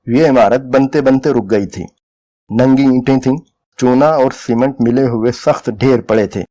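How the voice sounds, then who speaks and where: A man's voice: mid-range voice, warm tone. A man reading a short story aloud as a dramatic, scripted narration.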